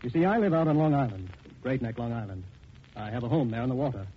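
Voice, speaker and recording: An actor playing an anxious, grieving middle-aged widower, halting and earnest, in 1940s radio drama style. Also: male voice, medium pitch, lively intonation, slightly rough. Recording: noisy, dull 1940s radio recording.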